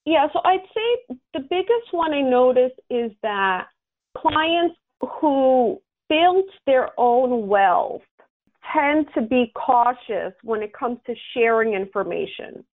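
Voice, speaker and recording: A woman's voice: high voice, expressive, takes it slowly. A woman from the United States explaining things earnestly, with examples, as an advisor being interviewed. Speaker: interview guest, estate planning and tax advisor. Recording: thin, narrow phone-line sound.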